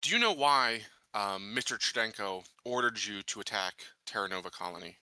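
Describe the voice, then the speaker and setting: A man takes part in a tabletop roleplaying game, improvising his questions as he goes. His voice is fairly low yet very bright and forceful, recorded dry. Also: expressive, brisk, clean tone, dry recording.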